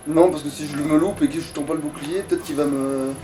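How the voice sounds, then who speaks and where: A man's voice: a little high, steady tone, brisk. A man giving casual, sweary, grumbling commentary while playing a video game with a friend. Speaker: let's player, at the controls. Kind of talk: casual gameplay commentary with a co-player.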